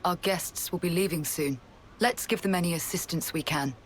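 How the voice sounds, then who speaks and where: An actor playing a calm, authoritative colony leader in a video game, speaking in a measured, formal and explanatory way. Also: male voice, a little high, a little hiss or hum, lightly acted. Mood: composed, grave. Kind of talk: scripted video game cutscene acting.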